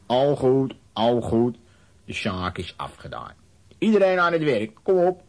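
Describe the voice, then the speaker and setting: A man playing a stern, commanding ship's captain in a children's audio drama, gruffly barking out orders. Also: medium pitch, wildly swooping pitch, unhurried, darkish tone. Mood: stern, annoyed.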